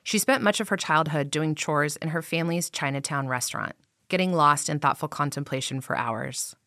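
A woman reporter narrating a feature story in an even, deliberate way. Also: deep voice, no echo, studio quality. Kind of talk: scripted narration.

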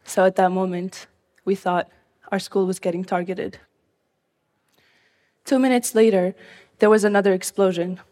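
A woman from Syria recounts her own life in the first person, speaking in short, measured phrases. Her voice sits in a middle pitch. The sound carries a long reverb, as if recorded in a large hall. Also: steady tone, a little mellow. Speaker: storyteller.

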